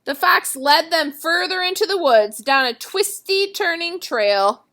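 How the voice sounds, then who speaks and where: A woman storyteller reads to children in a high, bright voice. Her delivery is expressive and made for a picture-book tale. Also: unhurried.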